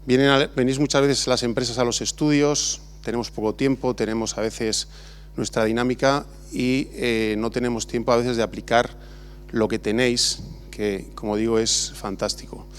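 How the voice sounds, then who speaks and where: A man's voice: medium pitch, steady tone, normal speed, slight background noise. Spanish man giving a rambling award speech. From Spain. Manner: rambling, many restarts and fillers. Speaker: architect giving an award acceptance talk. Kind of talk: informal speech at an awards ceremony. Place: large exhibition hall.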